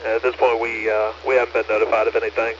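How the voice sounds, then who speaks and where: A muffled, noisy two-way radio carries an American man acting as net control. His voice is mid-pitched and very even, matter-of-fact and courteous as he confirms details back. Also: fast talker. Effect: two-way amateur radio transmission. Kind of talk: procedural two-way radio traffic with read-backs.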